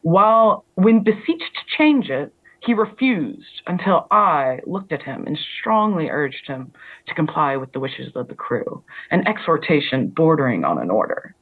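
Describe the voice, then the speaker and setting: A woman author giving a measured, wry reading of her literary prose on a video call. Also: deep voice, sing-song. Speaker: author reading own work. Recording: tinny phone-like line.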